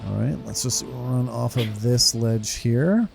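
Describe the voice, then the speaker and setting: A man's voice: medium pitch, slow, gravelly, over music. A man giving casual, slangy playthrough commentary with some swearing, as host of a gaming video.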